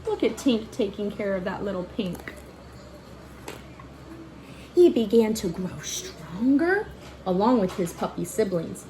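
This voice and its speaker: A woman's voice: mid-range voice, very animated, measured pace, pauses often, warm tone, slight background noise, rough recording. A woman reading a children's picture book aloud like a teacher, expressively and in a child-directed way, with asides to point things out and ask questions. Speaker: read-aloud storyteller and teacher.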